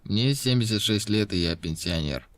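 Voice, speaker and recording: An actor playing a suspicious seventy-six-year-old pensioner who writes to the police, with a strained voice, in a scripted lesson recording. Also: male voice, fairly low pitch, expressive, normal speed, no room sound, close to an everyday voice.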